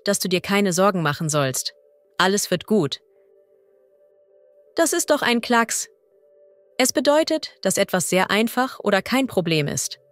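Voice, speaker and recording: A woman as a language teacher reading idioms and vocabulary slowly and clearly. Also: mid-range voice, no room sound. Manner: slow, clear and deliberate.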